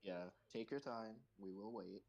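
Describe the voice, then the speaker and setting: A man's voice: on the low side, steady tone, unhurried, creaky, darkish tone. A man hosting a question-and-answer stream as a comic creator, chatting casually with the group over a voice call. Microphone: voice call microphone. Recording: noticeable background noise.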